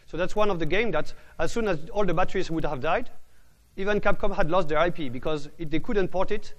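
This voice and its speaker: High-voiced man giving a tech talk. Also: fairly quick. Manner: restarts words often, calls his own voice bored. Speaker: conference speaker. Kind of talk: technical conference talk.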